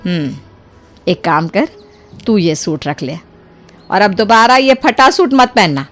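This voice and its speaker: A woman playing a kind, generous older mother-in-law in an acted moral story, speaking in an expressive, colloquial, motherly way. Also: medium pitch, measured pace, faint music behind. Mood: kindly scolding.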